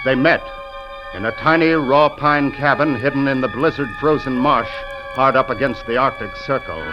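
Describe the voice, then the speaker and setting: An actor playing a solemn storyteller, narrating a 1950s radio drama in a portentous, scripted style. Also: male voice, medium pitch, close to an everyday voice. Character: solemn storyteller of a frontier tale. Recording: muffled vintage radio recording. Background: loud dramatic music.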